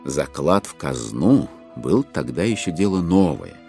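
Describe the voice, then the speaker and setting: A man narrating a dramatized literary audiobook with theatrical, measured, ironic storytelling. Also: very deep voice, big pitch swings, creaky, darkish tone, soft background music.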